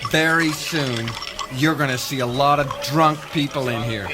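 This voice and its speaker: A man's voice: medium pitch, normal speed, music in the background, natural voice. A man playing a boozy, belligerent journalist turned hostage-taker in a radio satire.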